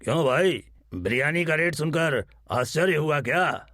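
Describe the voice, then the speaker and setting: A man playing a blunt roadside eatery owner in a cartoon moral story, brisk and challenging. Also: a little high, animated, fairly bright tone, dry recording, clean studio sound.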